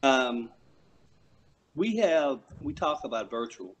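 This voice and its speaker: A man at a school board meeting on a video call, asking questions in a halting way. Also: mid-range voice, sing-song, slight background noise, poor audio. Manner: slow, halting, tentative.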